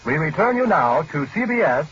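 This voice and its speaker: Higher-voiced 1940s radio newsman, muffled. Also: big pitch swings, normal speed, a bit bright, breathy edge. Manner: formal, factual delivery. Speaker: radio news reporter. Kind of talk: scripted 1940s radio news report.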